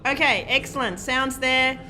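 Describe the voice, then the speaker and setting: A woman lecturing a large university class in a lecture hall. She speaks loudly and projects, chatty and self-deprecating, with many "um"s, sometimes drifting off the microphone. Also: high-pitched, normal speed. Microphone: lecture microphone, sometimes off-mic while walking.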